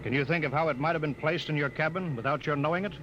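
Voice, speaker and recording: A man's voice: fairly high pitch, fast, lightly acted. Guy playing tough old-time radio space captain, barking and suspicious, dull noisy recording. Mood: stern, probing. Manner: clipped, barking orders and interrogating. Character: stern, commanding male spaceship captain, suspicious and forceful. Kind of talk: 1950s radio drama acting.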